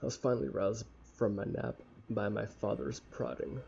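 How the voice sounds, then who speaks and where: A young man with a casual, halting, sweary manner reading a visual novel aloud and commenting as he plays. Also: on the low side, lively intonation, creaky, a little mellow. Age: young adult. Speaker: let's play streamer. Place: small room at home. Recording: dull lo-fi mic with faint noise.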